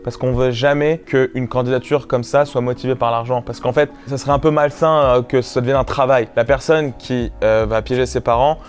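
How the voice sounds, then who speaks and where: A man's voice: mid-range voice, fairly even intonation, fairly quick, few pauses. A man who co-created a prank show, chatting casually and rambling a little as he remembers. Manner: rambling with fillers and restarts. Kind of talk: casual behind-the-scenes chat.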